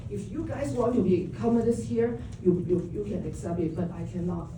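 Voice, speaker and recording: A woman's voice: medium pitch, moderate pace, warm tone. A woman from Hong Kong giving a public comment at a city council meeting, speaking urgently and stumbling over repeated words. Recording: echoey chamber, far mic, noisy.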